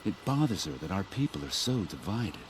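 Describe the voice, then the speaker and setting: Anguished father for a video game: middle-aged, emphatic, halting, widely swinging intonation. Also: low voice, big pitch swings, a little hiss or hum. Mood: sorrowful, regretful. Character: distraught middle-aged father searching for his missing daughter. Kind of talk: video game character acting.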